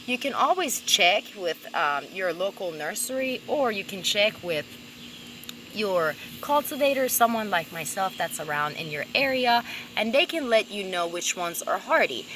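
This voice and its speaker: A woman's voice: mid-range voice, big pitch swings, moderate pace, a bit bright, a little hiss or hum. A woman from Persia presenting an informal gardening vlog outdoors, upbeat and appreciative in manner. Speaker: plant vlogger and cultivator. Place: outdoors in a sunny garden by a fence.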